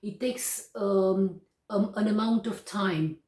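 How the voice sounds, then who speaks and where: Slow, deliberate Romanian-accented woman teaching. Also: medium pitch, dark tone. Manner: slow and deliberate, with frequent pauses and hesitations. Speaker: English teacher. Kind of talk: explanatory grammar lesson. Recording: echoey room, distant mic.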